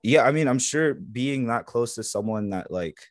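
A young man born in Egypt, native in Arabic, speaking with an American accent as a podcast guest in relaxed, filler-heavy chat. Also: medium pitch, moderate pace, dry recording, studio quality. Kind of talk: casual conversation.

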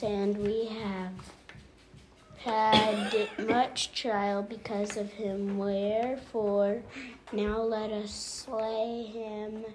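A woman slowly reading scripture aloud at home for her family, careful and halting, sometimes correcting herself. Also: mid-range voice, a little mellow, slight background noise.

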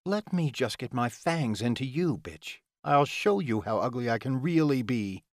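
A man's voice: medium pitch, expressive, moderate pace, no room sound, studio quality, voice pushed up, lightly acted. A man voicing a scornful, taunting female vampire in an audiobook, with a bitter, insulting manner. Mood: snarling.

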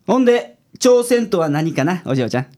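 A woman's voice: lowish voice, sing-song, normal speed, warm tone. A woman playing a blunt, self-assured male detective with a Kansai accent in a radio drama. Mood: brusque. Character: gruff, cocky, unflappable male private detective.

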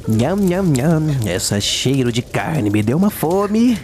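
A sadistic Brazilian clown villain for an audio horror drama. He swoops up and down in a slow, mock-gentle sing-song, taunting as he goes. The recording is clearly noisy. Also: a little high, heavily acted. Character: sadistic killer clown, mockingly playful male villain.

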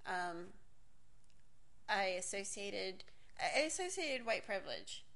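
A woman's voice: medium pitch, big pitch swings, slow, fairly bright tone. Woman in her 40s from miami, rambling personal story, cuts herself off a lot, cusses and laughs. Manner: rambling, self-interrupting, swears casually, laughs often. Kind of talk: candid personal monologue.

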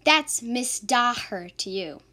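A woman's voice: fairly high pitch, animated, takes it slowly, pushed and bright, full character voice. A woman playing a sassy, sceptical young woman in an amateur radio drama, sarcastic and indignant. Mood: indignant, puzzled.

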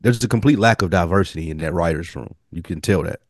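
A man's voice: sits low, quick pace, no echo, clean studio sound. A man with an American accent co-hosting a podcast, giving blunt, profane opinions in a casual TV discussion. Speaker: podcast co-host.

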